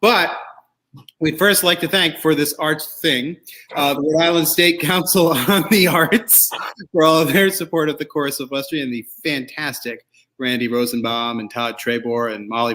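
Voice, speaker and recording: A man hosts a show in a loose, conversational style. His voice sits at an average pitch. He rambles through his points with a joking, informal manner. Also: pushed and bright. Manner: rambling, jokey. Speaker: show host. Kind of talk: casual, chatty show hosting.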